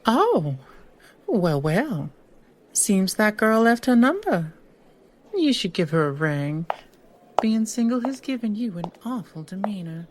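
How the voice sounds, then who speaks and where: Sly female attorney, played by an actress, audio drama: very slow delivery, teasing, shrewd, theatrical. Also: medium pitch, very animated, slight background noise. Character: sly, silky woman lawyer, old friend, teasing and shrewd.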